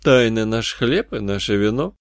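A man's voice: lowish voice, expressive, normal speed, no echo. Man as a wry, mock-solemn occult detective. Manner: solemn, mock-grand dry wit. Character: seasoned, wry, secretive male supernatural detective. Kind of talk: audiobook character dialogue.

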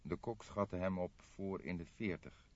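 A man's voice: sits low, unhurried, dry recording. A man reading scripted audiobook narration of a detective story. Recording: dull, dry lo-fi recording.

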